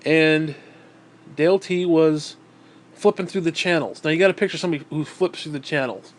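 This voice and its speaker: A man's voice: on the high side, normal speed. Nasal, rambling man with a stuffy nose. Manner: profane, bitter, rambling. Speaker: vlogger. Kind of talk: casual rambling monologue.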